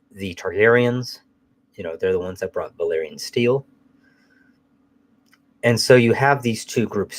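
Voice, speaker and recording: A man hosting a casual live chat while fighting a cold, sounding nasal, rambling loosely and swearing now and then. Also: lowish voice. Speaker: host.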